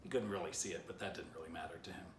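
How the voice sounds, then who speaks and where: A man with a fairly low voice reads a children's story aloud, chatting playfully to the listener. The recording is echoey and a bit distant from the mic, with faint noise. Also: steady tone, rapid-fire. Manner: playful direct address to the listener. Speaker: author reading aloud.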